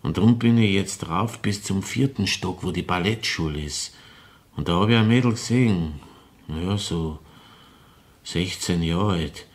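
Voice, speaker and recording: Low-voiced, brooding Viennese murderer. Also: male voice, measured pace. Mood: matter-of-fact, cold. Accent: Viennese Austrian. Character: confessing opera-house murderer, brooding, bitter woman-hater in his thirties. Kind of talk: crime radio drama acting.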